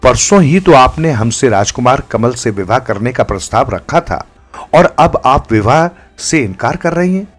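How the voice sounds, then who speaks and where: A man's voice: mid-range voice, animated, moderate pace, faint music behind, close to an everyday voice. A man voicing a kindly king and father, formal, courtly and questioning, in a told story. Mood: puzzled, reassuring.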